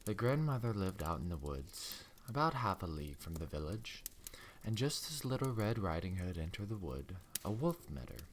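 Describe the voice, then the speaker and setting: A soft-spoken man giving a gentle, unhurried, hushed ASMR bedtime story reading. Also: low-pitched, very animated, a little hiss or hum.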